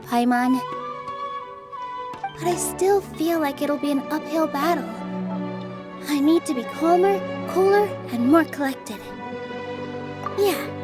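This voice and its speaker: A woman voicing a gentle, earnest young female dancer in a video game, soft and thoughtful, with music behind her. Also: high-pitched. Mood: anxious, gentle.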